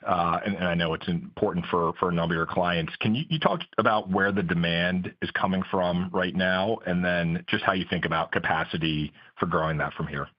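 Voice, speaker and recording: A man with a very low voice asks a question as an analyst on an earnings call. He is polite in his phrasing. The audio is dull and lo-fi. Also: fairly even intonation, fairly quick, few pauses.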